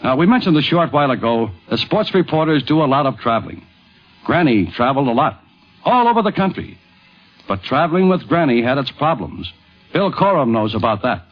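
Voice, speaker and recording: A man's voice: mid-range voice, sing-song, brisk, faint music behind. An American man hosting and narrating a radio documentary. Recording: dull 1950s radio broadcast.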